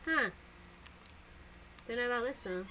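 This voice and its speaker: A young woman game streamer chats to herself in a slow, unhurried way, her pitch bouncing up and down as she giggles at her own mistakes. She speaks into a desk mic at home, with clear background noise behind her. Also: expressive, sharp tone. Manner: giggly, talks to herself while playing. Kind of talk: casual gameplay commentary.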